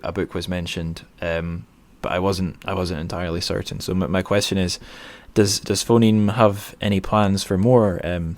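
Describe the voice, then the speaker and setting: Male podcast host, low voice, casual interviewing, feels his way into questions and self-corrects.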